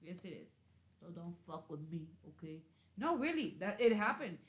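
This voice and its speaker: A woman's voice: fairly low pitch, sing-song, moderate pace. A woman hosting a casual interview chat, talking loosely and filling her sentences with "like". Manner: chatty, peppers talk with "like". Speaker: podcast host. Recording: roomy echo.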